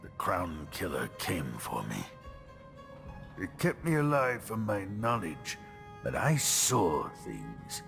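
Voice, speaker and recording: An actor playing a weary, battered old male genius inventor in a video game, speaking gravely and haltingly. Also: low-pitched, huge pitch swings, fairly bright tone, long reverb tail, faint music behind, heavily acted. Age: older. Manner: slow, halting, grave storytelling.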